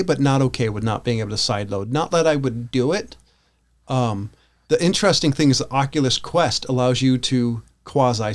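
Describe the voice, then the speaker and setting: Podcast co-host, American male, mid-range voice, casual and rambling, thinks aloud. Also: mid-range voice. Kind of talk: casual tech discussion.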